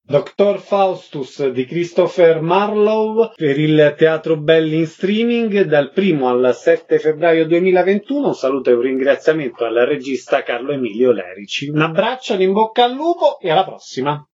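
A man with a fairly high voice hosts a radio interview. The recording is a little dull, and he speaks as a presenter asking questions and introducing guests. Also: very animated, normal speed, hardly any pauses, a little mellow. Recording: slightly dull radio sound.

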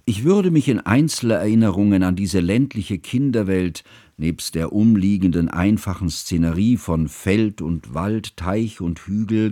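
An earnest literary narrator with a low voice, recorded very dry and close. He speaks in formal, precise sentences, as in a reflective radio play. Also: dark tone, dry recording. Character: earnest, bookish male narrator recalling his friend's childhood.

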